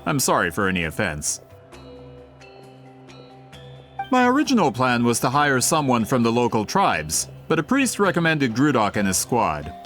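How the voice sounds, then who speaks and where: A man's voice: mid-range voice, huge pitch swings, a little fast, a bit bright, soft background music. An actor playing a polite, eager book merchant with a theatrical salesman's manner in a video game.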